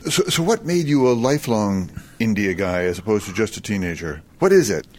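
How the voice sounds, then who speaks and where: A man's voice: fairly low pitch, very animated, a little fast. A man hosting a radio interview, asking eloquent, wordy questions. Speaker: radio host.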